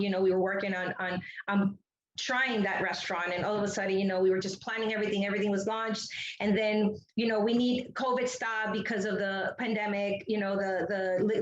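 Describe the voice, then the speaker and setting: A middle-aged woman from Ecuador talks as an interview guest over a video call. Her voice sits at a medium pitch, and she rambles chattily through personal stories. The sound is echoey and a little dull. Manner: chatty, rambling with repeated "you know". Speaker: interview guest, entrepreneur and public speaker. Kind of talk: conversational interview answer, personal storytelling. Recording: roomy, muffled video-call audio.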